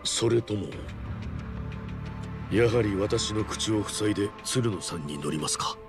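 A cool, calculating agent in a video game drama. He speaks in a low register with formal, dry courtesy and steady composure. Loud music swells behind him. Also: a little slow. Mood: cool, resigned. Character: cool, polite, calculating male handler from a shadowy organisation.

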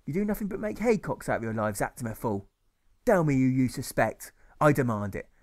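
A man voicing a furious, authoritarian old schoolteacher who yells and rants in old-fashioned speech. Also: medium pitch, big pitch swings, brisk, natural voice. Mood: demanding. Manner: ranting, yelling, archaic speech.

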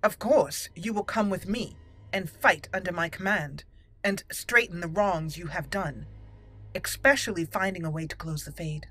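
A woman hosting a roleplay let's play, musing deliberately and slipping into her character's dialogue. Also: on the low side, a bit bright. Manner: musing, deliberate, thinking aloud. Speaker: gaming channel host roleplaying a character.

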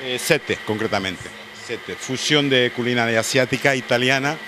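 A man's voice: mid-range voice, normal speed, noisy background. A chef with a Spanish accent, a native Spanish speaker, being interviewed with a handheld mic in a restaurant kitchen and drifting between Portuguese and Spanish as he explains his menu. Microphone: handheld reporter mic.

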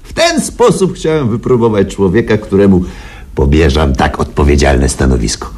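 An actor in a Polish radio play playing a smug, callous, wealthy boss who talks with amused, drawling self-assurance. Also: male voice, low voice, big pitch swings, normal speed, darkish tone, slightly rough, exaggerated voice. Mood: smugly amused.

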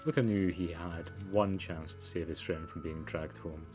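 A man's voice: deep voice, fairly even intonation, normal speed, over music, natural voice. A man reading scripted narration as a storybook narrator in a mystery game. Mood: measured. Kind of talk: scripted narration read aloud.

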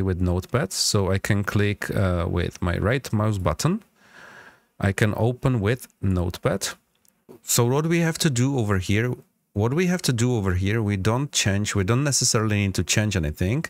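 A man hosting an informal step-by-step software tutorial, instructive and often checking in with the listener. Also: on the low side, no room sound. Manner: instructive, checks in with frequent "okay?". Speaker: tutorial host.

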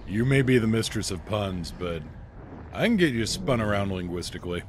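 A man's voice: lowish voice, expressive, moderate pace, noisy background. A man from Iowa chatting casually on a live game stream, rambling and joking as he thanks viewers. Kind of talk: casual live-stream chat while playing.

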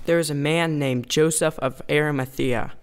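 A man's voice: on the high side, fairly even intonation, moderate pace, smooth, close to an everyday voice. A man playing an earnest storyteller who explains the Easter story in a scripted amateur radio drama. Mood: earnest storytelling. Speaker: narrator and storyteller.

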